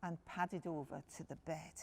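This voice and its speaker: A woman's voice: low-pitched, fairly even intonation, moderate pace, creaky. A woman storyteller reading a picture book expressively to young children, addressing them directly.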